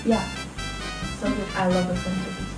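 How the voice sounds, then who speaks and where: A woman's voice: medium pitch, level tone, brisk. A seventeen-year-old young woman from Norway, a native Norwegian speaker, chatting casually as a vlog guest. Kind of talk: casual chat. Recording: echoey room, distant mic, music underneath.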